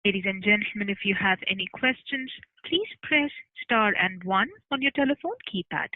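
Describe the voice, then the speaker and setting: A woman's voice: medium pitch, animated, moderate pace, no echo. A woman making formal, scripted announcements as a conference call operator. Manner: formal, procedural. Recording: dry narrowband telephone line.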